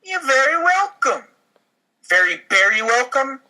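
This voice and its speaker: A man's voice: very high voice, sing-song, unhurried, bright, forceful tone, pitched above the performer's own voice, full character voice, poor audio. A man voicing an overly polite, eager restaurant waiter, gushing and fussy, in a comedy script.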